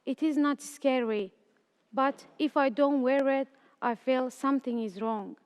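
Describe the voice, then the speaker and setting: Late-teen woman from Afghanistan and Iran, student storyteller, smooth voice, slow careful delivery. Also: sits high, big-room sound, muffled recording. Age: late teens. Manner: slow, careful, earnest reading. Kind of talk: reading a personal story.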